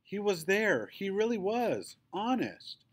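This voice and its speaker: Man playing an excitable, chatty little girl, higher-pitched. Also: slow, breathy edge, full character voice. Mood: excited, insistent. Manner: gushing, emphatic.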